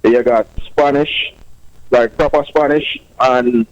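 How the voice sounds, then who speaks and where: A man's voice: mid-range voice, fairly even intonation, slow, dry recording. Jamaican man, dry and dull-sounding recording. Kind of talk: casual chat on a radio show.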